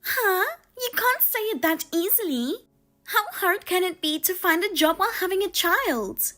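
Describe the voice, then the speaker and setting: A woman voicing a pushy, scornful sister-in-law who is a working mother, talking down to her in a sharp, mocking way. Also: extremely high pitch, expressive, normal speed, voice pushed up. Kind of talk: dramatized story reading.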